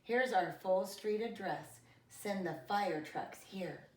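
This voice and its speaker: A woman voicing a calm, kindly but firm mother in a children's storybook, speaking clearly and in a measured way. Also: medium pitch, far from the mic, close to an everyday voice. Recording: roomy echo, away from the mic.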